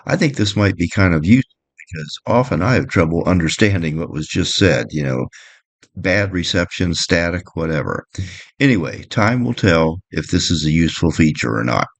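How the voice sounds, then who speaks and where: A man's voice: deep voice, expressive, normal speed, creaky, no echo. A man giving a practical how-to narration in a plain, step-by-step way, like a boat owner explaining his gear. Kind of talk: practical how-to narration, partly read, partly off the cuff.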